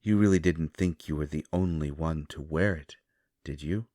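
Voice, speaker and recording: A man voicing an ancient, courteous vampire who speaks with formal, measured, deliberate phrasing in an audio roleplay. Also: extremely low pitch, dark tone, studio quality. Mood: knowing, intrigued. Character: ancient, courteous, knowing male vampire speaking softly and gravely. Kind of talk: scripted immersive audio roleplay. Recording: roomy echo, faint music behind. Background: faint music.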